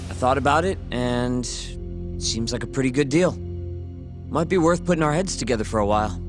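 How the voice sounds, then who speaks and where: Casting: male actor as self-assured young tech rebel, natural game dialogue, mid-pitched, clear music bed. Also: normal speed, close to an everyday voice. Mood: confident. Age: young adult. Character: cool, self-assured young male hacker turned vigilante.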